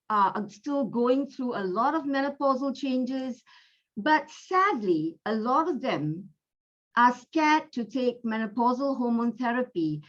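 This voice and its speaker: A woman from Malaysia with a Malaysian accent, giving an online medical lecture in an unhurried, chatty way with fillers, over a video call. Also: on the high side. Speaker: guest lecturer, gynecologist. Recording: dull lo-fi audio.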